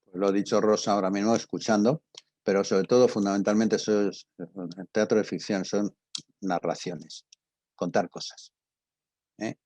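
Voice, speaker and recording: An older man with a husky voice and a Castilian Spanish accent, informally answering students as a radio drama teacher in a conversational, storytelling way. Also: fairly low pitch, fairly even intonation, moderate pace, a little mellow, dry recording.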